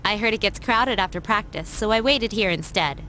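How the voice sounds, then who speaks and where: A woman's voice: medium pitch, moderate pace, pushed and bright, slight background noise, close to an everyday voice, low-quality recording. A woman playing a composed, formal, self-assured young female character in an anime video game. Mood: cool, purposeful.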